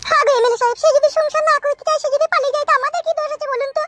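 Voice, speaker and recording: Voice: very high-pitched, steady tone, very fast, fairly bright tone, no room sound, faint music behind. A performer voicing a defiant, quarrelsome young wife in a comic cartoon, whiny and argumentative. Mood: protesting.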